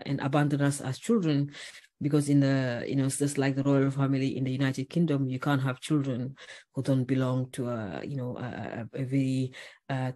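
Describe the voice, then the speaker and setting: A woman's voice: a bass voice, warm tone. A woman from Senegal, a podcast guest telling her story earnestly, with many "uh"s and "you know"s. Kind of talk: podcast interview.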